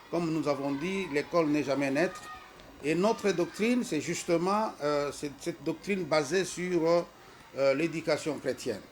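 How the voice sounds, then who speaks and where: Congolese man, middle-aged, slow hesitant speech with a preacher's emphasis, faint noise under the recording. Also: fairly high pitch. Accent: Congolese French. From Congo-Kinshasa. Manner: hesitant, many fillers. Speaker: school representative. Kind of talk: formal address presenting a school.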